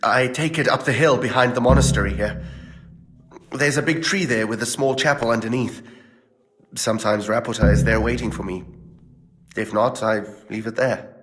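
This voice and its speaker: An actor playing a nervous, evasive monastery clerk who talks haltingly, in a video game. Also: male voice, mid-range voice, fairly bright tone, in a large hall, natural voice, low-quality recording. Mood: nervous, confessing. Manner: hesitant, halting.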